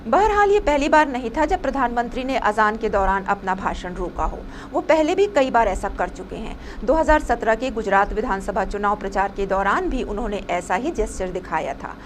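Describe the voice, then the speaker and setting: A woman's voice: fairly high pitch, lively intonation, moderate pace, few pauses. A woman reading a scripted news report as a presenter, with some noise behind her.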